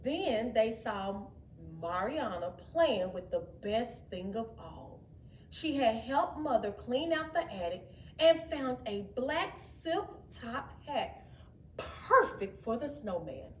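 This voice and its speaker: A woman with a very high voice narrates a children's read-aloud slowly and expressively. She is recorded in an echoey room, away from the mic. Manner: expressive, deliberate. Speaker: storyteller. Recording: echoey room, distant mic, faint noise.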